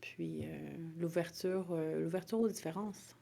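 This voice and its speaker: Low-pitched woman with creaky voice, Quebec accent. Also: a little slow, warm tone. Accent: Quebec French. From Montreal, Quebec, Canada. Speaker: interview guest, lawyer. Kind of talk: conversational interview answers.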